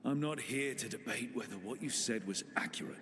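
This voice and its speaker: An actor playing a reflective, earnest young male adventurer in a video game cutscene, musing aloud with quiet determination. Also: medium pitch, fairly quick, slight background noise, close to an everyday voice. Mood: firm.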